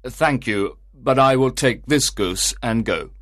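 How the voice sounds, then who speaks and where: Man playing an excitable, polite gentleman, pitched up. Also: takes it slowly, no room sound, studio quality. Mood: obliging. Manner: deferential, effusive. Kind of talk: acted audiobook dialogue.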